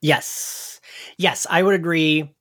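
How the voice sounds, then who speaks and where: A man's voice: on the high side, steady tone, slow pace, sharp tone, no room sound. A man hosts a podcast in casual conversation. The sound is very dry, with no room around his voice. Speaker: podcast host.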